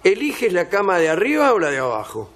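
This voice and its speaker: A man's voice: sits high, wildly swooping pitch, fairly quick, fairly bright tone. A man hosting a comedy radio show, reading out a travel guide with dry sarcastic asides.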